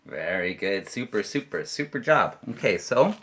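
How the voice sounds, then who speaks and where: A Canadian man teaching an online English lesson to children, playful, leading chants slowly and clearly. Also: lowish voice, very animated, fairly bright tone.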